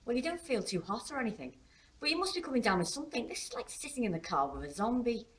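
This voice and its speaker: Casting: woman as a fifteen-year-old girl in a dramatised novel, raised pitch, questioning, teasing and worried. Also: very animated, fast, fairly bright tone. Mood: teasing concern. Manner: exclamatory, questioning, informal.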